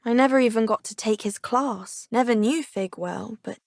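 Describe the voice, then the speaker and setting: A woman's voice: fairly high pitch, moderate pace, creaky, no room sound, studio quality. An actress playing a kindly, earnest schoolgirl in a wizarding video game. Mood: sorrowful. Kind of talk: video game character acting.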